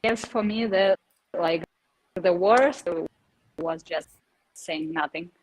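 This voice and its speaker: A woman's voice: lowish voice, slow pace, a little hiss or hum, low-quality recording. A woman taking part in an online lesson, hesitant and laughing as she talks. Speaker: learner participant.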